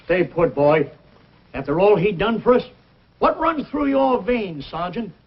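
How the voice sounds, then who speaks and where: A man playing an excitable, indignant frontier fellow in an old adventure series. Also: high-pitched, a little slow, slightly rough, full character voice. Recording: dull vintage broadcast recording, faint music.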